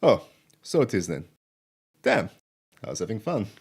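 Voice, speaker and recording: Man acting a cheeky low-voiced elf thief. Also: sing-song, moderate pace, vocal fry, darkish tone, husky, heavily acted. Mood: breezy, defiant. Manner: sardonic, playful, theatrical. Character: roguish, cheeky male elf thief, an old friend.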